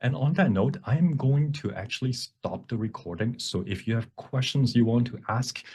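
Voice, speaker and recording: A man giving an informal workshop presentation with a demonstration, explaining in a halting way and often correcting himself. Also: mid-range voice, darkish tone, husky. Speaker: workshop presenter and font developer.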